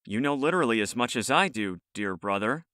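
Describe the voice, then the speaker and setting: A stern, exasperated eldest brother among elves, acted by a man. He speaks formally in a bright, mid-pitched voice with wide swings of intonation, in a dryly recorded fantasy audio drama. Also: expressive, brisk, no echo, studio quality. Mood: concerned.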